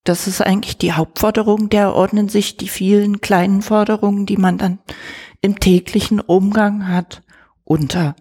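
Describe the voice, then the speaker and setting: A woman's voice: mid-range voice, fairly even intonation, normal speed, mellow, breathy edge. An activist woman answering interview questions in an earnest, conversational way. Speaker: refugee support activist.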